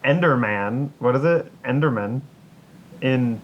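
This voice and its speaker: A woman's voice: a bass voice, lively intonation, measured pace, muffled recording, a little hiss or hum. A woman co-hosting a podcast in casual chat, chiming in briefly and agreeably. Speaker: podcast co-host.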